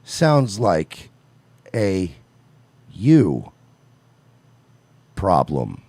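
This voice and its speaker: A man's voice: deep voice, wildly swooping pitch, very slow, mellow, dry recording. A man co-hosting an online show, giving crude, mocking commentary full of profanity and sarcasm.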